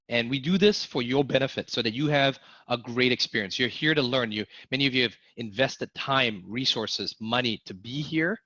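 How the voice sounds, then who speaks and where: Energetic male online sales instructor. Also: medium pitch, dry recording, highs cut off. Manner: energetic, asks audience to type in the chat. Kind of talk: live online class teaching.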